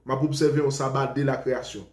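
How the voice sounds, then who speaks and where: Haitian male preacher, mid-pitched, rambling and defensive, echoey room. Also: fairly even intonation, a little fast, darkish tone. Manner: rambling, defensive, switches between Creole and French. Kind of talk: informal preaching talk to followers. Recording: roomy echo.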